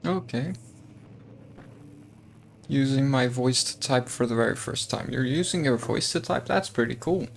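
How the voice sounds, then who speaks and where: A man's voice: medium pitch, unhurried, creaky, pauses often, a little hiss or hum. A man streaming a game, chatting casually, thinking aloud and talking to his chat. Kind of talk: casual gameplay commentary.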